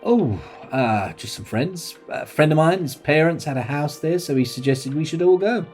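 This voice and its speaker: An actor playing an awkward, amiable man in a radio play, speaking in a halting, tentative way. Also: on the high side, lively intonation, a little mellow, faint music behind, lightly acted. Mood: hesitant. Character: hesitant, awkward, amiable male wine-drinking guest. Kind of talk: naturalistic radio play acting.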